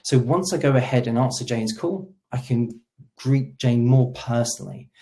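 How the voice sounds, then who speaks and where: A man's voice: medium pitch, moderate pace, warm tone. A man hosting a webinar, presenting a product demo in an explanatory way and stressing the starts of his sentences. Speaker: webinar host; solution engineer.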